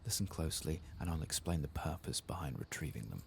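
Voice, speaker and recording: A man voicing a solemn, commanding fantasy demon hunter, reading game dialogue in a hushed, formal, measured way. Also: rumbling low, expressive, vocal fry, a little hiss or hum, full character voice. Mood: solemn, vengeful. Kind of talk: soft-spoken dramatic reading of game text.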